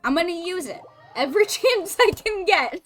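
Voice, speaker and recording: Young woman, streamer, casual chat, jokey, high-pitched. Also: expressive, normal speed, soft background music. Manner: jokey and playful, swears freely.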